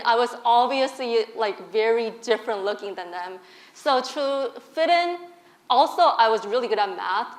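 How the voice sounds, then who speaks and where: A woman from Korea, a guest on stage, tells her personal story openly and earnestly with soft laughs. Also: a little high, reverberant. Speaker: guest speaker.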